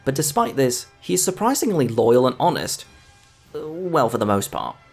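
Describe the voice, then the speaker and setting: A man delivers scripted film commentary in a medium-pitched voice with lively, varied intonation. He speaks as a video essayist walking through a review, with music playing faintly underneath. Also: moderate pace, vocal fry, husky. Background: background music.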